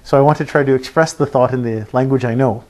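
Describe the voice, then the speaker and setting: A man giving an informal expository sermon as a preacher, halting and thinking aloud, often checking in with a short tag question. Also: mid-range voice, fairly even intonation, darkish tone. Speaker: preacher teaching a Bible passage.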